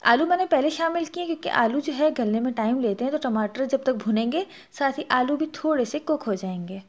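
A woman's voice: high voice, expressive, brisk, lo-fi sound. A woman with a Pakistani Urdu accent hosting a cooking channel, narrating a recipe step by step and adding practical tips. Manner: explanatory, instructive, gives practical tips.

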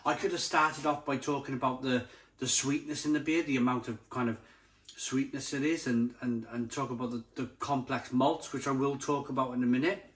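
A man's voice: medium pitch, steady tone, brisk. Enthusiastic man giving a casual tasting review. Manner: enthusiastic, savouring, repeats and dwells on descriptive words. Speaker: beer review channel host.

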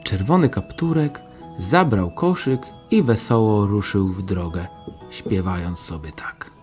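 A man's voice: fairly low pitch, a little slow, darkish tone, faint music behind. A man narrating a scripted fairy tale as the storyteller of an audio drama.

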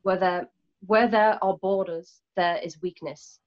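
A woman's voice: on the low side, steady tone, measured pace, close to an everyday voice. A woman playing a solemn, idealistic rebel in a radio play, earnest and oratorical, over a video call. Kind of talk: remote radio play reading. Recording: dull lo-fi video call audio.

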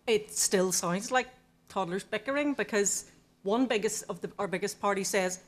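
A woman's voice: mid-range voice, moderate pace. A woman in her thirties with a Northern Irish accent, speaking from a studio audience, exasperated and insistent. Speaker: audience member. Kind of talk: televised debate comment.

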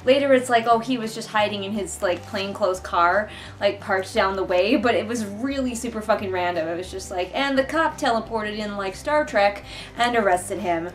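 A woman's voice: medium pitch, moderate pace. A young woman giving casual book commentary in a sarcastic, exasperated manner, recorded indoors a little way from the camera. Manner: sarcastic, exasperated, mildly sweary. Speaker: vlogger reviewing a book. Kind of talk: casual read-along commentary. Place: living room couch, indoors.

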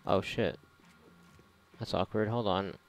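A man's voice: lowish voice, steady tone, slow. A man giving casual, rambling gameplay commentary in a sarcastic, foul-mouthed way. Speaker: video game player commentating. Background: video game music and sound.

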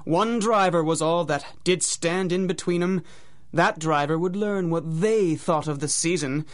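An actor playing a dramatic radio announcer, telling a pulp tale in rhyme with theatrical, measured storybook delivery. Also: male voice, fairly high pitch, close to an everyday voice. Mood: grave, dramatic. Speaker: announcer and narrator. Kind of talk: rhymed storybook narration in old-time radio drama style.

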